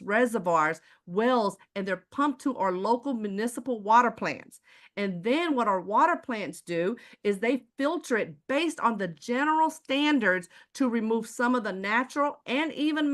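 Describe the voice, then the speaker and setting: Texan woman giving a preachy sales pitch. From Houston, Texas. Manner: preachy, rhetorical questions, repeats "ladies and gentlemen". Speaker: presenter selling water filters. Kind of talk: live online sales presentation.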